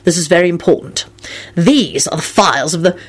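A woman's voice: fairly low pitch, brisk, breathy edge. A woman voicing an irritable man with an exasperated, defensive manner in a story reading. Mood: demanding. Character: irritable man trying to run a serious meeting.

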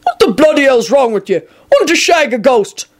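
A woman voicing a gruff, rustic giant gamekeeper man who scolds suspiciously in exclamations. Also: sits high, very animated, normal speed, darkish tone, pitched above the performer's own voice. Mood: indignant.

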